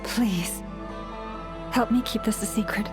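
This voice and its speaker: A gentle young priestess leader in a video game, as a woman acts her, burdened yet devoted. She speaks slowly and solemnly at a medium pitch, over faint music. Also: lightly acted. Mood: quietly urgent. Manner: measured, solemn, formal.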